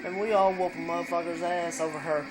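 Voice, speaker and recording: A man's voice: fairly high pitch, steady tone, fast, background noise. A man vlogging at home, a bit drunk and stoned, telling a long profane rambling story. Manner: profane, meandering, tipsy storytelling. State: tipsy and stoned. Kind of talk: rambling casual chat. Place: at home indoors. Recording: music playing in the room.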